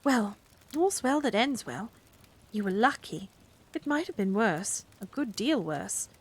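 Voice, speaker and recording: A woman's voice: medium pitch, lively intonation, unhurried, slightly rough. A woman voicing a prim, easily flustered middle-aged witch who snaps when pressed.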